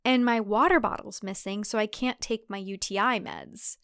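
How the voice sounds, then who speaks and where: A woman's voice: medium pitch, steady tone, normal speed, a bit bright, clear, resonant tone, no room sound, clean studio sound, close to an everyday voice. A woman voicing a worried, frustrated young professional who feels trapped in a cult thriller story. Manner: uneasy, tense protesting.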